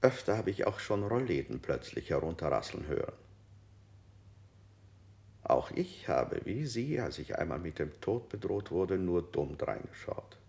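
A gravelly, ingratiating interrogator. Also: male voice, deep voice, big pitch swings, a little mellow, exaggerated voice. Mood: falsely sympathetic. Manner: slow, ingratiating questioning. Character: gently probing male interrogator, insidiously sympathetic. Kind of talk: radio play acting.

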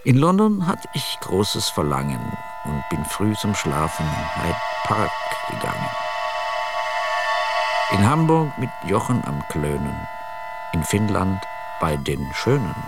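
A man's voice: sits low, huge pitch swings, slow. A man reciting rhyming verse. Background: music.

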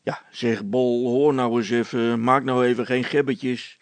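A man's voice: medium pitch, steady tone, measured pace, no room sound, lightly acted. A man voicing an officious village constable who admonishes people in colloquial speech, in a storybook tale. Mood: firm. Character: officious male village constable keeping order.

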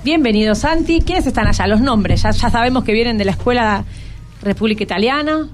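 High-pitched Argentine woman hosting radio. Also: expressive, fairly quick, a little hiss or hum. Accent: Buenos Aires Argentine. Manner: conversational, with frequent tag questions. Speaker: radio host. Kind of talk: live radio presenting and interviewing. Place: radio studio. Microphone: studio microphone.

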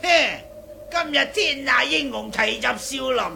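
A man's voice: very high voice, normal speed, vocal fry, pushed and bright, slight background noise, exaggerated voice. A man with a Cantonese accent playing a scornful, impatient guest of the martial world, dismissive, in a radio drama.

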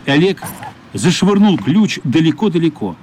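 A man's voice: a little high, very animated, normal speed, darkish tone, a little hiss or hum. A man narrating a children's radio play as a scripted storyteller. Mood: narrative. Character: male storyteller narrating a wistful children's adventure.

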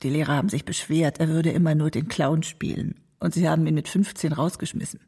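A woman playing an elderly mother in a radio drama, recalling her troubled son with care in a husky, creaky voice. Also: sits low, steady tone, brisk, warm tone. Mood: worried, wistful.

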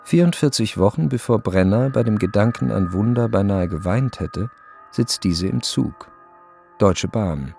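A man narrating a literary radio drama in a measured, deadpan storytelling manner. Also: on the low side, creaky, faint music behind.

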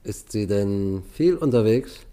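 Radio crime play: a composed, methodical police sergeant, mellow tone, matter-of-fact questioning. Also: male voice, on the low side, lively intonation, takes it slowly. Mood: businesslike, probing. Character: calm, methodical male police sergeant investigating a killing. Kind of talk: German radio crime drama acting.

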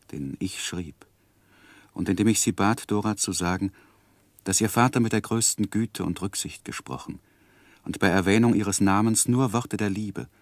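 An actor playing an earnest, lovesick young gentleman who narrates his life in a measured, introspective 1960s German radio drama. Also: low-pitched, dry recording. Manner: measured, introspective literary delivery.

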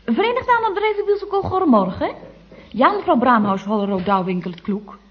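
An actress playing a polite, curious office secretary with a questioning singsong manner in a vintage radio comedy. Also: female voice, on the high side, big pitch swings, fairly quick, slightly rough. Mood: polite, flustered. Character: polite, curious female office secretary, amused straight foil. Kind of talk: 1960s-70s Dutch radio comedy acting. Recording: dull vintage radio recording.

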